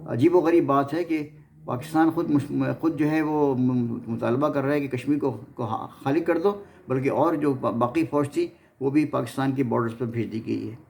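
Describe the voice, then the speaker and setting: A man's voice: mid-range voice, moderate pace, creaky, darkish tone, a little hiss or hum. A man with a Pakistani accent hosting an informal history narration, often stumbling and repeating his words as he goes. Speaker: host of a history series.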